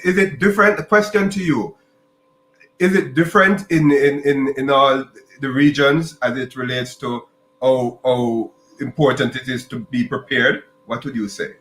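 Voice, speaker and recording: A man's voice: mid-range voice, fairly even intonation, moderate pace, a bit bright, roomy sound, rough recording. A man from Jamaica, hosting a podcast interview and asking long, rambling questions with many restarts and repeated words. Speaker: podcast host.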